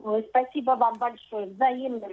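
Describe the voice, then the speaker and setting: Higher-voiced middle-aged woman on phone. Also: steady tone, a little slow. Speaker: prank call recipient. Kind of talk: casual phone conversation. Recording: phone call with chatter behind.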